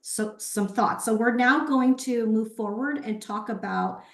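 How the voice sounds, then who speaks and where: A woman's voice: a little high, measured pace. A woman presenting at a webinar, explaining things step by step and posing rhetorical questions. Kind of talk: explanatory lecture with brief acknowledgements of participants. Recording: roomy, echoey sound.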